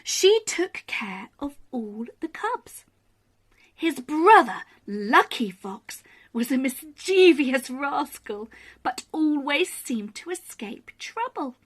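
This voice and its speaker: A woman reading a children's bedtime story expressively, with dramatic pauses and emphasis. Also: sits high, very animated.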